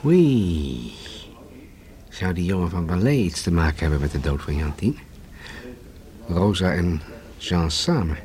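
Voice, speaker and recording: An actor in a radio play as a police inspector's sidekick, talking in a terse, informal, world-weary manner. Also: male voice, low-pitched, lively intonation, unhurried, pauses often, darkish tone. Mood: casual, musing. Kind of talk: radio drama acting.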